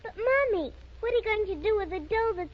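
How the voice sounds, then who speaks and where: A woman's voice: very high voice, lively intonation, quick pace. An actress playing an eager, wheedling little girl in a 1930s radio commercial skit. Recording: muffled, noisy 1930s radio recording.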